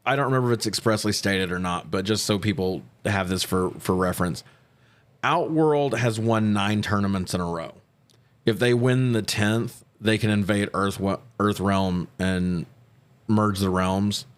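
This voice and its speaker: A man with a fairly low voice talks through a film as a podcast co-host. His style is casual and slangy, peppered with profanity. He tells it like a story, acting out the quoted lines as he goes. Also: moderate pace. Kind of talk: casual movie recap banter.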